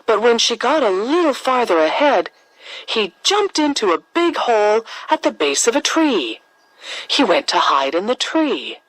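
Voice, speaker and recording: A woman narrating a scripted children's fairy tale as a storyteller, with expressive, dramatic emphasis. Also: fairly high pitch, a little slow, no room sound, rough recording.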